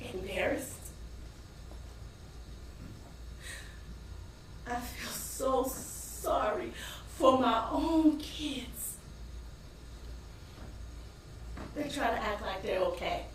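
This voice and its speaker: Woman acting a high-voiced, weary struggling wife, echoing stage. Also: far from the mic. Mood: hurt, ashamed. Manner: emotive, dramatic, with long pauses and sudden swells. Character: weary, embittered working-class wife and struggling mother. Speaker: stage actor. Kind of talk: theatrical stage monologue. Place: indoor stage performance space. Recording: roomy echoing theater from afar, faint noise.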